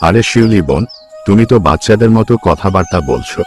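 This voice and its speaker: A man's voice: on the low side, lively intonation, fast talker, a little mellow, faint music behind, natural voice. A man voicing a sly middle-aged village headman in a cartoon, coaxing with false friendliness. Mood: persuasive. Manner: persuasive, wheedling, falsely friendly. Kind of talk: Bengali cartoon story voice acting.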